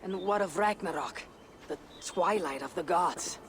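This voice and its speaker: A man's voice: high-pitched, unhurried, slight background noise. An actor playing an earnest, curious Viking-age man in a video game, patiently asking questions. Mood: puzzled, curious. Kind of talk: dramatic video game acting.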